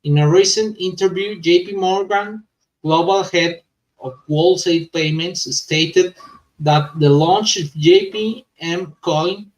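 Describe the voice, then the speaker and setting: Male news vlogger, native Spanish speaker with accent, slightly high voice, unhurried and stumbling delivery, set back from the mic. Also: distant mic, poor audio. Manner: halting, stumbling non-native English. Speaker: host of a crypto news vlog. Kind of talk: reading news with informal commentary.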